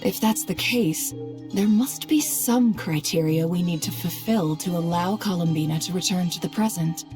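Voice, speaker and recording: A woman voicing a composed, analytical female adventurer in a game cutscene, with a deliberate, questioning delivery. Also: on the low side, music in the background, natural voice. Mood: pondering, serious. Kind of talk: animated game cutscene voice acting.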